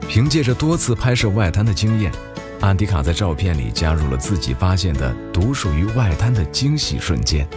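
A man narrates a televised news feature in a low voice. His pitch moves expressively while he reads in a steady, measured way. Music plays underneath. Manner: measured, even-paced reading. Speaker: documentary narrator. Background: background music.

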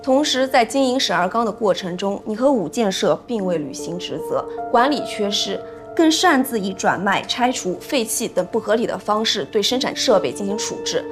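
An actress playing a stern female investigator who reads out findings in a crisp, formal official manner. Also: medium pitch, lively intonation, normal speed, hardly any pauses, music in the background, lightly acted.

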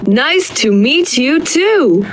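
A woman voicing a polite young schoolboy in a scripted textbook dialogue, speaking in very slow, carefully spaced words. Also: high voice, very animated, slight background noise, full character voice. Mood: friendly.

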